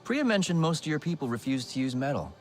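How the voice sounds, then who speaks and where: Animated, chatty builder guy. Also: fairly high pitch, quick pace, soft background music. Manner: talkative, animated. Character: chatty, enthusiastic human builder, good-natured devoted husband. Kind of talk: video game dialogue acting.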